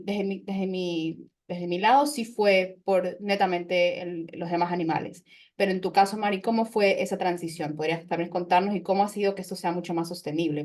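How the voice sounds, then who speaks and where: A woman's voice: fairly low pitch, a little mellow. A young adult woman hosting a conversational podcast interview as a nutritionist, explaining ideas thoughtfully with frequent fillers and restarts.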